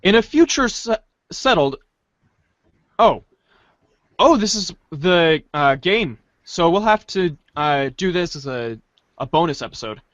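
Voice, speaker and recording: A podcast host reads a webcomic script out loud in a playful, theatrical way. The pitch swings around a lot. The recording is dry and a little dull. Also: big pitch swings, a little slow, long pauses, dry recording. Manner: playful, theatrical page-change calls. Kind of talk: dramatic group reading of a webcomic script.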